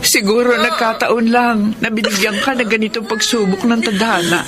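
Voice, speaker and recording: An actress playing a gentle middle-aged mother in a Filipino radio drama, earnest and consoling. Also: fairly high pitch, steady tone, moderate pace, close to an everyday voice. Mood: comforting. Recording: loud music behind the voice.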